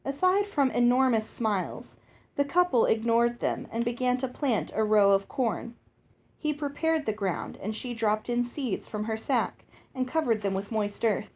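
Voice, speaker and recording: Higher-voiced woman reading a storybook. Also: expressive, measured pace. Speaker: audiobook reader. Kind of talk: scripted storybook narration, first person.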